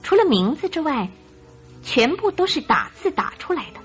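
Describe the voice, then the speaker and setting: A woman narrator giving scripted, expressive Mandarin storytelling for a radio drama. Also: high voice, moderate pace, faint music behind.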